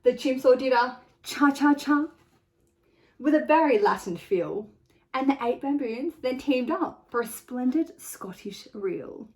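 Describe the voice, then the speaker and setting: Lady teacher reading a picture book to little kids, high voice, expressive. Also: measured pace. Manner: expressive, clearly phrased, addressing children directly. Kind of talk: storytelling read-aloud for young children.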